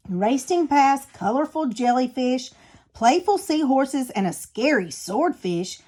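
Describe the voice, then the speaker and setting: High-voiced woman reading a children's story. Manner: expressive. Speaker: storybook reader. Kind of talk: children's read-aloud narration.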